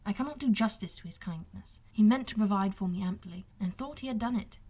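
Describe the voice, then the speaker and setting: A woman voicing a wronged young gentleman officer in an audiobook, talking in a formal, earnest, confiding way. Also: mid-range voice, brisk, slightly rough, natural voice. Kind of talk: audiobook character dialogue.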